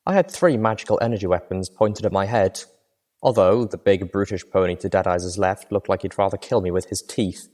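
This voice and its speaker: A man's voice: sits low, a little fast, a little mellow. A man reading first-person dramatic fiction as an audiobook narrator.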